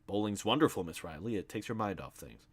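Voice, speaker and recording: A man's voice: fairly low pitch, expressive, quick pace, husky. A man doing a meek New patrolman. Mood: earnest. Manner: plain, earnest, apologetic. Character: meek, earnest young patrolman, apologetic.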